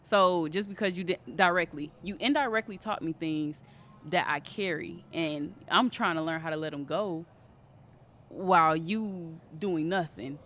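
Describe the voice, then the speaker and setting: A woman in her twenties in casual conversation, telling a personal story in an animated way. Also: on the low side, a little slow, slight background noise. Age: 20s. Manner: animated storytelling, quotes others in raised voice. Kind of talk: casual chat.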